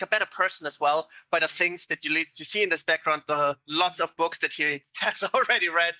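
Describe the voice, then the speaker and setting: A man's voice: on the high side, pushed and bright, no echo. A man hosting an interview, introducing his guest in loose phrasing with plenty of fillers. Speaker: host. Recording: dull dry lo-fi sound.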